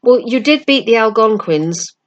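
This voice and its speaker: A woman voicing an earnest, gentle schoolboy who speaks hesitantly in a storybook reading. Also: fairly high pitch, expressive, a little slow. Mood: gentle, concerned.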